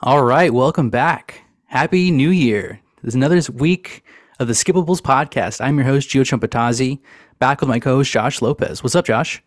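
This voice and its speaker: A man's voice: mid-range voice, moderate pace, no echo, soft background music. A man hosting a podcast in a laid-back, joking chat, often laughing and saying "dude". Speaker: podcast host. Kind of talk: casual chat.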